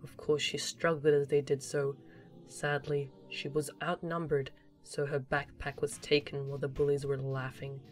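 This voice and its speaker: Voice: mid-range voice, flat delivery, moderate pace. A narrator reading a scripted story for a fan audio drama.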